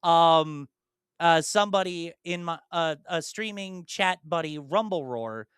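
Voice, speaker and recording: A man's voice: a little high, measured pace, a bit bright, clear, resonant tone, no room sound, studio quality. A young man and podcast co-host chatting casually about video games, rambling through detailed explanations with many ums and restarts.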